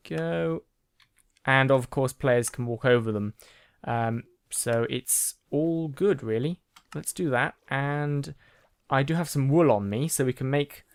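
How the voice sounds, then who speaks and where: A man talks his viewers through a building game in a loose, chatty way, full of ums and half-finished sentences. His voice sits at an ordinary pitch. The recording is close and dry, with no room sound. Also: dry recording. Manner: chatty, rambling, with frequent ums and restarts. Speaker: gaming channel host. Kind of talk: casual gameplay commentary.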